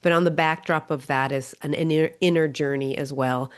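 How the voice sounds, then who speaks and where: Low, smooth woman with a stuffy cold. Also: fairly even intonation, normal speed. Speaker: guest author and coach. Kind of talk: casual interview chat.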